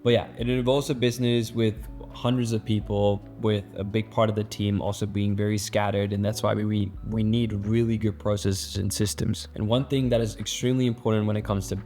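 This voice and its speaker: A man's voice: fairly low pitch, flat delivery, a little fast, hardly any pauses, mellow, soft background music. A young man from the Netherlands, a business founder, speaking earnestly and motivationally to camera and then training his team, with frequent restarts and fillers. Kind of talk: casual vlog intro, then presentation-style training.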